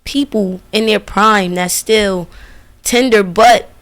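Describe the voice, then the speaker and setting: A woman's voice: medium pitch, slow. A woman radio host in casual conversation, speaking in an earnest, emphatic, colloquial way. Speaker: radio DJ and interviewer.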